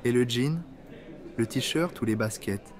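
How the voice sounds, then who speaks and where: A man reading scripted workplace dialogues for French learners, in a plain, clear way. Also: mid-range voice, slow pace, natural voice.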